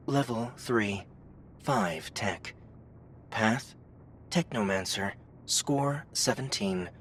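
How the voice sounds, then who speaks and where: An actor voicing an impersonal game system interface in an audiobook, reading out stats in a clipped, formal, deliberate way. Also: mid-range voice, slight background noise. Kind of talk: LitRPG audiobook system readout.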